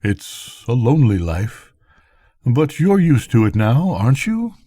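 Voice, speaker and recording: A man's voice: medium pitch, sing-song, a little slow, dark tone, slightly rough, no room sound, clean studio sound. A man voicing a musing, folksy, lonely lighthouse keeper in a bedtime storybook reading. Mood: companionable. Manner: thoughtful, folksy.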